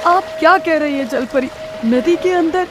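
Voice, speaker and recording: A woman's voice: sits high, normal speed, background noise, natural voice. A woman voicing a poor, kind-hearted village husband in a cartoon moral story, humble, earnest and plaintive. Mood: puzzled.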